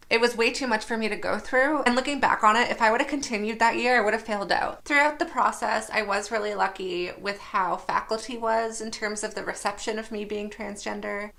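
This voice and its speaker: Candid young woman with a slightly raspy voice. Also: on the high side, bright, forceful tone. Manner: candid, reflective, laughs at herself. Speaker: nursing student. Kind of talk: personal vlog talk to camera.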